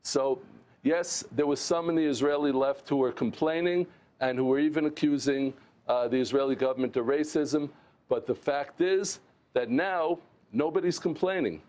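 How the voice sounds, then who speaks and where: Earnest older man, halting restarts. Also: a little high, darkish tone. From United States. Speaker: interview guest, former mayor. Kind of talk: remote television interview. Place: remote studio.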